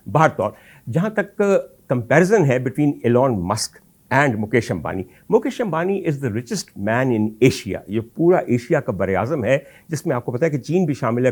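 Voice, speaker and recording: A man with a Pakistani accent hosting a talk show, delivering a rhetorical monologue with deliberate emphasis, addressed straight to his audience. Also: mid-range voice, a little mellow. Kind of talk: monologue commentary addressed to audience, mixing Urdu and English.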